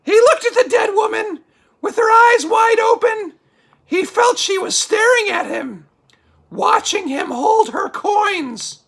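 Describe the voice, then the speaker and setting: A woman playing an excitable, easily sidetracked spooky storyteller for a comic puppet show, with exclamatory, drawn-out dramatic delivery. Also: very high voice, vocal fry, raised voice, exaggerated voice. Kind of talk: theatrical comic reading of a scary story.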